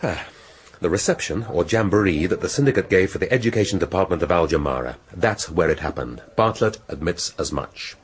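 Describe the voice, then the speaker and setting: A shrewd English police inspector in a radio drama. His voice is fairly low and swings widely in pitch. He lays out his case in deliberate, rhetorical phrases with theatrical pauses. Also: lively intonation. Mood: musing, explanatory.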